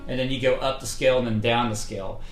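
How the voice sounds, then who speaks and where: A man teaching singing and breathing in a relaxed, conversational way, with many fillers, streamed live from indoors. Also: mid-range voice, fast talker, echoey room, over loud music. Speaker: vocal coach. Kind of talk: casual instructional talk. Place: indoors, livestream setup.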